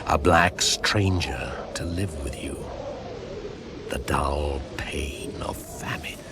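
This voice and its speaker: Extremely deep, ancient winter spirit. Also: male voice. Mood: brooding, incantatory. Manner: slow, incantatory, menacing, dramatic. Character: ancient pagan winter spirit, shaman ancestor, brooding and commanding. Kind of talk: 1980s radio drama monologue. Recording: very noisy old radio broadcast recording.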